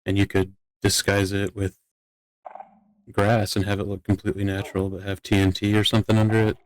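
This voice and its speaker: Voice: low-pitched, monotone, fairly quick, low-quality recording. A person talking casually in a roleplay discussion, explaining things in a plain-spoken way. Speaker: role-player in a court meeting.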